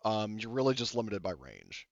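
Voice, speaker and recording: A man's voice: on the low side, big pitch swings, moderate pace, dry recording. A man running a tabletop game as game master, explaining rules in a rambling way with many fillers and restarts. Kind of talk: casual tabletop game narration. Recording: dull lo-fi audio.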